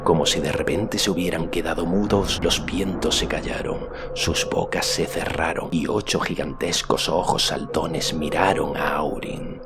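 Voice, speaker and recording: A man's voice: extremely low pitch, fairly even intonation, unhurried, few pauses, heavy background noise. A man narrating a fantasy audiobook in a dramatic, scripted storytelling style. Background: loud music.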